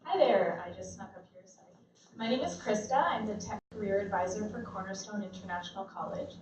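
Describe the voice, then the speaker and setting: A woman's voice: mid-range voice, a little fast. Chatty woman announcing an offer. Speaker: college career advisor. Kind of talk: short announcement. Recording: echoey room, distant dull mic, faint hiss.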